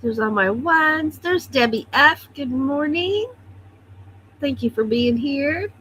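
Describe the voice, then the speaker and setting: Slow-talking bright-voiced woman livestream host. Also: sits high, animated, a little hiss or hum. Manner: chatty, greets viewers one by one. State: a little stuffy. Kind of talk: casual morning chat reading viewer comments. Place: home, at her computer.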